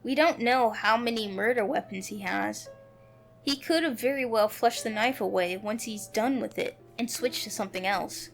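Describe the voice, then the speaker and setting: A woman's voice: on the high side, moderate pace. Woman reading a story game over music. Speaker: player reading a visual novel aloud. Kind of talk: dramatic reading of narration and character dialogue. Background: background music.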